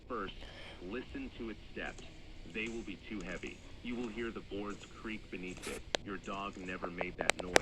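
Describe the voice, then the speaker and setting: Very deep, grave broadcast announcer played by a man. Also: close to an everyday voice. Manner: measured, grave, instructional. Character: stern, official male emergency broadcast announcer. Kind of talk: scripted analog horror public warning. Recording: noisy broadcast-style recording.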